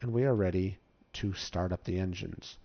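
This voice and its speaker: Low-voiced man hosting a casual tutorial. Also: normal speed. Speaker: tutorial host. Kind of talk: casual game tutorial commentary. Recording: slightly dull, muffled microphone.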